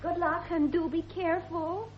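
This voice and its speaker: An actress playing a kindly, confident young gentlewoman, polite and coaxing, in a 1950s British radio drama. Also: very high-pitched, fairly even intonation, normal speed. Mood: friendly, concerned. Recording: muffled, slightly noisy vintage radio recording.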